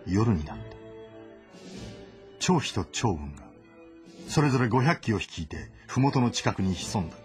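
A man's voice: lowish voice, big pitch swings, a little slow, pauses often. A man delivering dramatic scripted narration of a story as its narrator. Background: loud music.

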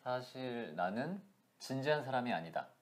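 A man in his thirties, a guest in a casual interview, talking softly and thoughtfully with frequent laughs, on a lapel mic in a small indoor room. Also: mid-range voice, lively intonation. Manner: soft-spoken, laughs often, thoughtful pauses. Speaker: actor guest.

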